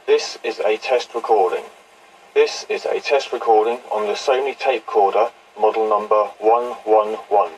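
A distant recording of a man with a fairly low voice. He speaks in a measured, matter-of-fact way, as in a scripted equipment demonstration. Faint noise sits on the reel-to-reel tape recording. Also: fairly even intonation. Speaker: presenter demonstrating vintage audio equipment. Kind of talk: scripted test recording and product narration.

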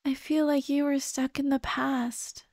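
A young woman voicing the gentle, grieving ghost of a dead girlfriend in an ASMR roleplay, speaking tenderly and pleadingly. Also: sits high, flat delivery, no room sound, clean studio sound. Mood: wistful, tender. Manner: slow, tender, sighing, pleading. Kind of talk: soft ASMR roleplay acting.

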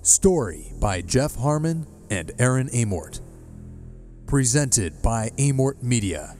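Slow, animated male announcer. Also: mid-range voice, soft background music. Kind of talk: scripted radio play title announcement.

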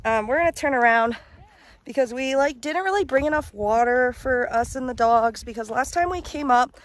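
A woman's voice: sits high, moderate pace. A young woman vlogging while hiking, talking into a phone at arm's length in a rambling, casual way, worn out from the day. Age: young adult. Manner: chatty, rambling with many likes and ums. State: tired and worn out. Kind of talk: casual travel vlog chat. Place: outdoors on a mountain ridge. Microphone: phone held at arm's length.

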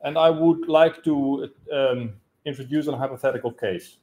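A man in his thirties standing in a conference room, asking a long spontaneous question in a loud voice with many hesitations, recorded by a room camera. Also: medium pitch, normal speed, dull audio. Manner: loud, hesitant, gesturing as he talks. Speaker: audience member asking a question. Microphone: room camera, no handheld mic.

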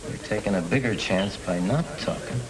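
An actor playing a determined young parolee out to clear his name in a 1930s crime film. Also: fairly low pitch, moderate pace, crowd noise, lightly acted, low-quality recording. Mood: cool, pressing. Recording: old 1930s film soundtrack, music bleeding in.

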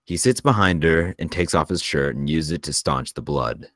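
A man with an extremely low voice narrates a screenplay's action lines. His reading is measured and scripted. The recording is dry, with very little room sound. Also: a bit bright, no echo. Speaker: narrator reading stage directions.

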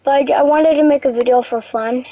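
A young woman casually commenting on her own gameplay, relaxed and a bit tired. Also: normal speed, no echo, low-quality recording. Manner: relaxed, low-key narration of play, says she is tired. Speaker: gaming video creator.

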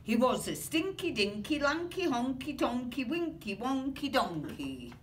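A British woman in her sixties reading a picture book aloud in an expressive, rhythmic sing-song way. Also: sits high, a little slow. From Britain. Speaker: grandmother reading aloud to children. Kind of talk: storybook read-aloud. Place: small carpeted classroom or office, indoors. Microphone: camera or phone a few metres away. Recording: distant mic, room noise.

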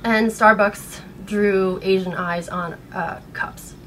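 An American woman in her thirties speaking in an earnest, halting way, upset and shaken, as in a personal vlog. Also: mid-range voice, steady tone, fairly bright tone, poor audio. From United States. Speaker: vlogger. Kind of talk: personal video blog, partly reading aloud. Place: small bedroom at home. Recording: noticeable background room noise.

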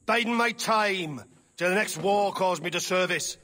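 Game drunkard: rough English brawler, sharp forceful tone, belligerent, echoing reverb. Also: male voice, sits high, moderate pace. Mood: taunting. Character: belligerent, sneering English drunkard, former serviceman. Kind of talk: video game cutscene acting.

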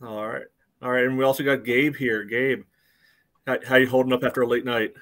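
A man's voice: mid-range voice, fairly even intonation, a little fast, a bit bright. Middle-aged man hosting, rambling and casual. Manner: rambling, frequent "you know". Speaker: podcast host. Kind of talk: casual sports discussion. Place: indoor room at home. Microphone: video call.

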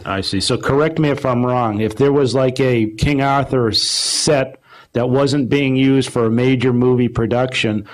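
Man, American accent, medium pitch, interviewer, conversational, halting questions, tentative phrasing. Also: fairly even intonation, low-quality recording. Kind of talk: conversational interviewing before an audience.

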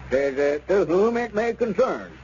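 An actor playing a sly, unctuous small-town squire who talks in a hesitant, roundabout way in radio comedy. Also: male voice, high voice, big pitch swings, a little slow, darkish tone. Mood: wheedling. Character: wheedling small-town squire, sly real-estate dealer. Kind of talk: 1930s radio comedy acting. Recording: dull, noisy old radio recording.

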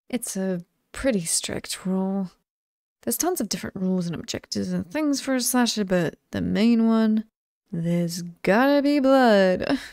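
A woman's voice: medium pitch, vocal fry, darkish tone, no room sound, clean studio sound. A British woman playing a cheerful, chatty slasher killer in an ASMR roleplay, teasing and casual with sweet menace. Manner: playful, casual, teasing, amused.

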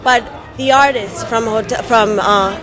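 With music clearly behind her, a woman speaks in a slightly high voice. She presents her restaurant in interview style, pausing and rephrasing as she goes. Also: fairly even intonation. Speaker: restaurant representative. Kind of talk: promotional interview talk.

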